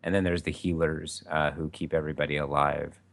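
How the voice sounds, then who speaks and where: A man running a tabletop role-play podcast, explaining slowly with many fillers and restarts. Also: very deep voice, level tone, gravelly. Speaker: game master. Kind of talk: casual podcast chat.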